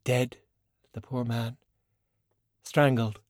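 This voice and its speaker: A man's voice: mid-range voice, level tone, a little mellow, no echo, studio quality. Man voicing a poised, silky, refined woman. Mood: quietly bitter. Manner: measured, formal, refined. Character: poised, silky, beautiful woman, proud and wryly self-pitying. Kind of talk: audiobook character dialogue.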